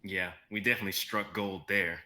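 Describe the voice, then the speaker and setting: Male actor as loyal young adventurer, casual and sincere, very bright, sharp tone, lowish pitch. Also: steady tone, fairly quick. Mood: warm, sincere. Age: young adult. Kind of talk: online dramatic script reading.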